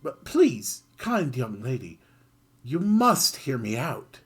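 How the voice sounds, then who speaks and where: A game player voicing a sly little mouse that begs in an overly polite, whiny way, pitched high above their own voice. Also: sing-song, takes it slowly, slightly rough, exaggerated voice. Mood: pleading. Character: sly pleading mouse, secretly a griffin.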